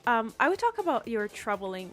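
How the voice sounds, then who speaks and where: Woman radio host, higher voice, encouraging interviewer, faint music bed. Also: very animated, a little fast, fairly bright tone. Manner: encouraging, with frequent affirmations.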